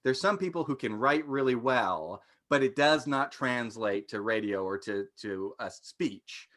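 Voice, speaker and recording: A man's voice: medium pitch, expressive, a little slow, a bit bright. A man giving his opinions informally as a panelist in a casual discussion, repeating words as he thinks.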